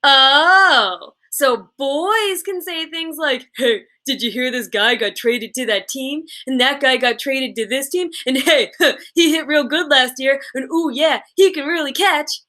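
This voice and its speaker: A haughty fifth-grade chatterbox girl, played by a woman reading a storybook. She is high and bright, gushing along in run-on, gossipy chatter. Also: measured pace. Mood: indignant, sarcastic.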